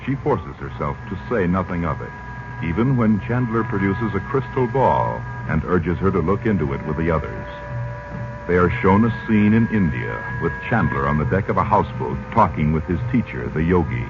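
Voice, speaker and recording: Deep-voiced, animated vintage radio announcer man. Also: normal speed, music in the background. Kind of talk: 1940s radio announcing, scripted ad and story narration. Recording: muffled vintage radio recording.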